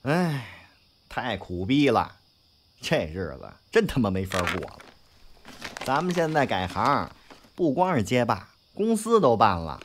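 A man's voice: mid-range voice, big pitch swings, measured pace, slight background noise. A man playing a brash, cocky but loyal young gangster in an audio drama, talking with slangy, sweary swagger. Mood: cocky, griping. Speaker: voice actor in a fan audio drama. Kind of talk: Chinese audio drama acting.

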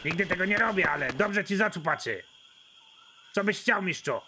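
Blunt, offhand male executioner for an animated comedy, acted by a man. High voice, deadpan, noisy track. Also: moderate pace. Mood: deadpan, bored. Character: blunt, bored, sarcastic male prison executioner. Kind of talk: amateur animated comedy acting.

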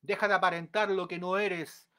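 A man in his thirties with a fairly high voice preaches an exhorting sermon into a webcam at close range. His delivery is earnest and builds into forceful pleas, recorded in a small room at home. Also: level tone, normal speed, clear, resonant tone.

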